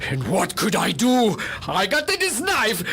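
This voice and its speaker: A woman's voice: mid-range voice, wildly swooping pitch, a bit bright, husky, full character voice. A woman playing a frightened Greek man in a radio drama, whining and stammering as he pleads. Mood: nervous, pleading. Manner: halting, stammering, whiny. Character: frightened, blubbering Greek restaurant man pleading self-defence.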